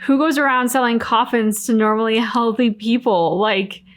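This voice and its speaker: A woman's voice: a little high, normal speed. A woman co-hosting a reaction video in casual conversation. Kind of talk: casual chat.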